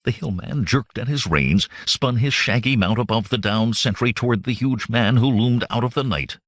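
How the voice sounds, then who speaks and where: A man's voice: mid-range voice, moderate pace, dry recording, clean studio sound. A man reading fantasy adventure fiction as a dramatic audiobook narrator.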